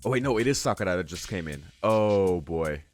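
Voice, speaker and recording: A man hosting a visual novel read-along, switching between narrating the text aloud and casual, halting reaction commentary. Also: deep voice, expressive, a little mellow, soft background music. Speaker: let's-play host reading a visual novel aloud and reacting.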